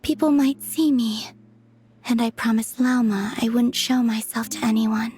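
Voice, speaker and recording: Game role: actress as a weary, aloof young goddess; high breathy voice, very unhurried, wistful. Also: female voice, fairly even intonation, slight background noise, lightly acted. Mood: quiet, tired. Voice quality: soft, breathy. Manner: slow, wistful, solemn delivery. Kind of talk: video game voice acting. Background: background music.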